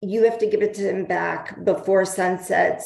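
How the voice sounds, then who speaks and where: A woman in a study group talking hesitantly, with many fillers and pauses. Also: medium pitch, fairly even intonation, creaky, darkish tone. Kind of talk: casual discussion. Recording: echoey room.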